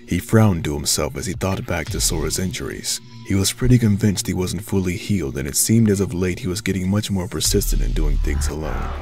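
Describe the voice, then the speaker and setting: A man reading scripted story narration as the narrator of an audio drama. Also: low voice. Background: music.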